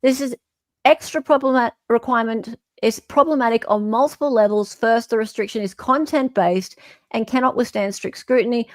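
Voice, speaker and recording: A woman's voice: on the high side, normal speed, no echo. An Australian woman hosting an online show, reading a legal filing aloud with emphatic, incredulous off-the-cuff commentary.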